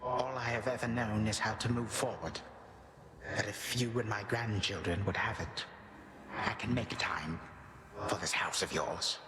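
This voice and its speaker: A man playing an ancient Titan of time in a game cutscene, a chastened old grandfather. His lowish voice is grave and measured, ringing through a noisy, reverberant hall. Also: close to an everyday voice. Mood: weary concession. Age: older. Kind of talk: video game cutscene acting.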